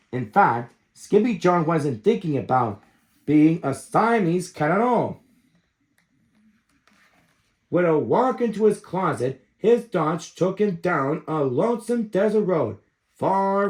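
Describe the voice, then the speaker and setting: Storytime reader: male, 30s, high-pitched, big swings in intonation, expressive children's book narration.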